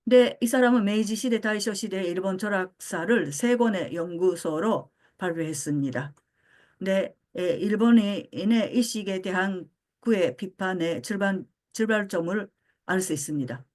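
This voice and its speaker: An older woman born in Japan giving a philosophy lecture, pausing often with fillers as she searches for words. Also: mid-range voice, darkish tone. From Japan (Osaka). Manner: frequent hesitation fillers, halting.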